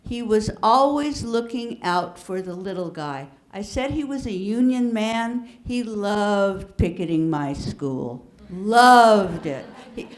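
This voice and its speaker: Female panelist; earnest, halting reminiscence, slow-paced. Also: medium pitch, animated. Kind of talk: personal recollection on a panel.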